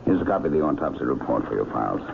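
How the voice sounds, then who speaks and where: A blunt, loyal doctor in a radio play, with a very deep, gravelly and animated voice. He speaks frankly and emphatically, on a tinny old recording. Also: very fast, noisy background, full character voice. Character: blunt, loyal male island doctor arguing with policeman. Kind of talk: vintage radio drama acting.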